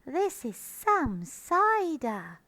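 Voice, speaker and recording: A woman voicing an excitable small young boy fox in a storybook reading, gasping and exclaiming. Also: extremely high pitch, slow pace, noisy background, voice pushed up, heavily acted. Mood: amazed.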